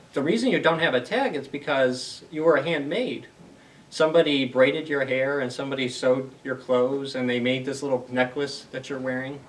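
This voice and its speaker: A man's voice: mid-range voice, distant mic. A man hosting a story time for young children, reading aloud and explaining things simply with questions to the listeners.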